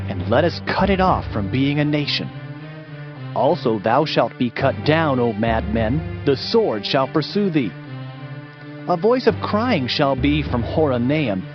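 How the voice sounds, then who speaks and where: A man narrates scripture in a solemn, declamatory dramatized style. His voice sits a little high and moves with very lively, sweeping intonation. The recording is slightly dull, with music clearly heard underneath. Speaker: audiobook narrator.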